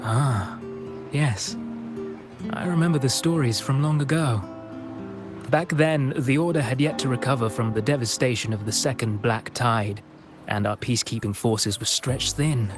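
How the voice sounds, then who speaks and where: A man playing a courteous officer of a peacekeeping order in a video game, telling a story formally and deliberately. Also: mid-range voice, natural voice. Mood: courteous, solemn. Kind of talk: scripted video game dialogue. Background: plucked guitar music.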